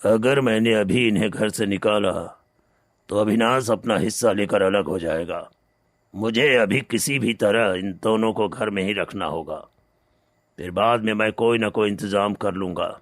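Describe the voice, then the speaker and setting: A man's voice: lowish voice, measured pace, no room sound, close to an everyday voice. A man playing a domineering, calculating wealthy moneylender and elder brother in a cartoon story. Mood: scheming. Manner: stern, calculating. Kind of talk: Hindi animated moral story dialogue.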